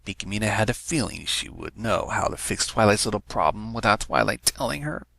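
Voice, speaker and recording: A man's voice: lowish voice, lively intonation, fairly quick, vocal fry, a bit bright, no room sound. A man reading fan fiction aloud as a scripted story narration.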